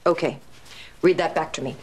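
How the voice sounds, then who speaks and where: A woman's voice: low voice, moderate pace, a little hiss or hum, natural voice. An actress playing a strong-willed, principled woman who writes a 1930s radio serial, speaking firmly and dryly in a period drama. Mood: dictating, absorbed. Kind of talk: period television drama acting.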